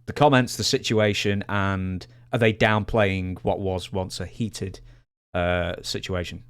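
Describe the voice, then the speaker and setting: A man hosting a podcast in a conversational way, measured and matter-of-fact as he sets up questions. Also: deep voice, fairly even intonation, clear, resonant tone. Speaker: podcast host, interviewer.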